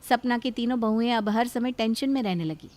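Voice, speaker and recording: High-pitched woman narrating a story. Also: fairly quick, darkish tone. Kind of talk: scripted story narration for cartoon.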